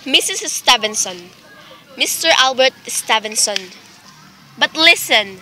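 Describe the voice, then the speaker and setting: High, bright, imperious anxious wife acted by a woman. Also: lively intonation, slow pace, soft background music, full character voice, poor audio. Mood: anxious, impatient. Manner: melodramatic, insistent, indignant. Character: anxious, imperious, exasperated invalid wife reporting a murder plot. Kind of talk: amateur radio play acting.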